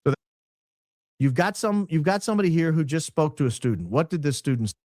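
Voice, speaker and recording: A man hosting a casual commentary on audio clips, questioning, halting and often repeating himself. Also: fairly high pitch, very animated, darkish tone, no echo, clean studio sound. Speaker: host.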